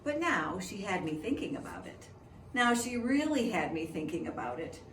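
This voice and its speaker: A woman reading a children's picture book aloud in an expressive storytelling style, in the manner of a preschool teacher. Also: mid-range voice, normal speed. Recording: echoey room, distant mic, faint noise.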